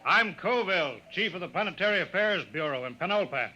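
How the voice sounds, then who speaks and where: An actor playing a brusque, bossy colonial bureau chief who blusters and gives orders, in 1950s radio drama style. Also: male voice, high voice, animated, brisk, bright, forceful tone, dull audio, heavily acted. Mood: official, stern. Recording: noisy vintage radio recording.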